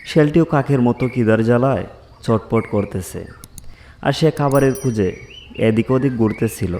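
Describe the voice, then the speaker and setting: A man's voice: mid-range voice, moderate pace, dark tone, a little hiss or hum. A man narrating a scripted animal fairy tale as a storyteller.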